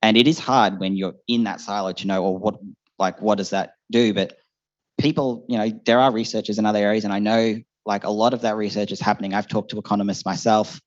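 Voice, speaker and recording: A man's voice: on the low side, steady tone, a little fast. A young man in his twenties answering on a discussion panel, thinking aloud with fillers and restarts. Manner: thoughtful, many fillers and restarts. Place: seminar hall before an audience. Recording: muffled lo-fi event recording.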